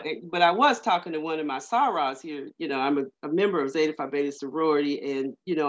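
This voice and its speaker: A woman speaking casually in a meeting over a video call, rambling and hesitant with many fillers. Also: low-pitched. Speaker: community working group member. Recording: muffled lo-fi video call audio.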